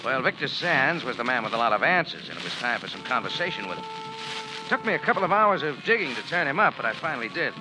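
Man playing wry American tough guy, animated pitch. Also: fast, natural voice, poor audio. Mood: wry narrating. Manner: sardonic, slangy, unflustered. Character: wry, tough American cafe owner narrating his adventure. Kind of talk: 1940s radio noir narration and dialogue. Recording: old 1940s radio recording with music.

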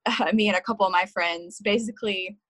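A woman, a guest on a podcast, talking in a relaxed, giggly way with lots of "like.". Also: mid-range voice, quick pace, no room sound. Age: 20s. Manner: relaxed, giggly, says "like" a lot. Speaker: guest, photographer and worship leader. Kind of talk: casual chat. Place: home, talking over a video call.

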